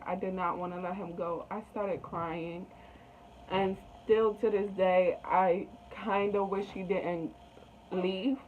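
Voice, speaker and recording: Young woman, soft wistful storyteller, heard from off-mic with a thin, tinny sound. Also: medium pitch, distant mic. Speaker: co-host, girlfriend. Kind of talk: casual storytelling. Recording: off-mic, thin phone-like sound, faint hiss.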